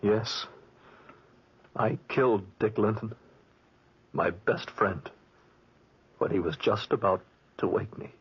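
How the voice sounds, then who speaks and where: An actor playing an anguished man haunted by killing his friend, with halting dramatic delivery in a 1940s radio drama. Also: on the low side, slightly rough, heavily acted. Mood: haunted, horrified. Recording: muffled old 1940s radio recording.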